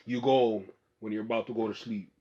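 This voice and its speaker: A man's voice: lowish voice, steady tone, a little fast, mellow. A young man hosting a podcast, chatting loosely with a friend in slang and cursing casually. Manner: loose, slangy, laughs a lot, swears casually. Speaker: podcast host. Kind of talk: casual chat. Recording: muffled lo-fi room recording.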